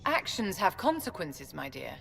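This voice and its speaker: A woman's voice: on the low side, sing-song, vocal fry, full character voice. Grave, stately woman in an echoing hall. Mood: grave, persuasive. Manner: measured, formal, gently condescending. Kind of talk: video game dialogue acting. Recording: long hall reverb.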